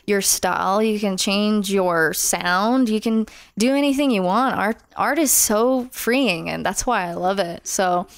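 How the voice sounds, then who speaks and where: Young woman, musician guest, smooth resonant voice, dry close sound, chatty and animated. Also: medium pitch, a little slow, hardly any pauses, dry recording. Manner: animated, acts out her own inner voice. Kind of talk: casual podcast interview.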